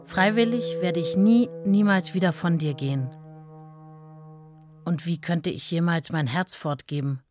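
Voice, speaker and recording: A woman's voice: fairly low pitch, a little slow, over music, lightly acted. An actress playing a devoted, modest young working-class wife telling her story in a radio play. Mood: tender, earnest.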